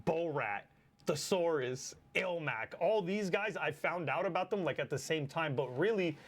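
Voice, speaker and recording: A man in his forties from Lebanon with a Lebanese accent, telling stories in a casual chat, animated and full of swearing and fillers. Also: a little high, normal speed. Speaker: battle rapper guest.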